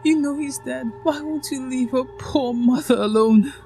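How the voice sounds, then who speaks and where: A man's voice: very high-pitched, moderate pace, gravelly, dark tone, faint music behind, pitched above the performer's own voice. A man voicing a protective, scheming mother in a spoken horror story, pleading and then defiant toward an angry crowd.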